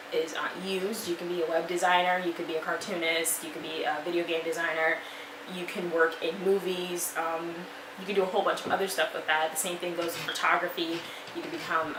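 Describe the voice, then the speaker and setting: Young woman in her twenties, lowish voice, encouraging vlogger tone; roomy recording with steady background noise. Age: 20s. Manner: chatty, encouraging, many fillers. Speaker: vlogger and life coach. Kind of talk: motivational talk to camera. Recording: echoey room with noise.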